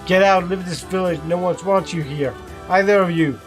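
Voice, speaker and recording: A sneering, cruel village bully boy, as a man puts him on in a story reading. He taunts in a raised, higher voice with a bit of force. Soft music runs underneath. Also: steady tone, moderate pace, natural voice. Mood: sneering angry.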